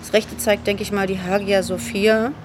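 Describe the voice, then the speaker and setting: An actress playing an assertive police officer in a radio drama, brisk and matter-of-fact. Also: female voice, mid-range voice, level tone, noisy background, lightly acted. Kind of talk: naturalistic radio drama dialogue.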